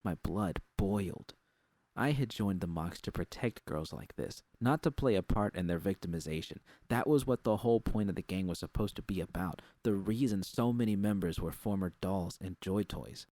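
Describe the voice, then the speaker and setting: Guy reading a story in first person, low voice, dry sound no room. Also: moderate pace, dark tone, no echo. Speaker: narrator. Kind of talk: scripted first-person fiction narration.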